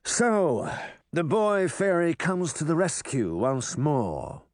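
A man voicing a menacing magic-mirror villain who mocks in a slow, theatrical way. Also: on the high side, sing-song, dry recording, studio quality, pitched above the performer's own voice. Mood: mocking.

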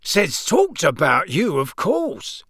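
A man voicing a genial, boastful middle-aged wizard father in an audiobook, with a booming, hearty, colloquial way of talking. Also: wildly swooping pitch, slow, a bit bright, husky, no echo, clean studio sound, heavily acted. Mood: proud, boastful.

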